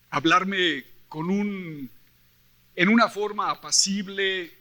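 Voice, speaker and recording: High, bright-voiced Mexican preacher man. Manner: animated storyteller, acts out quoted dialogue; emphatic, with rhetorical questions to the listeners. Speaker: preacher at a church convention. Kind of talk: sermon mixing Bible reading and personal stories.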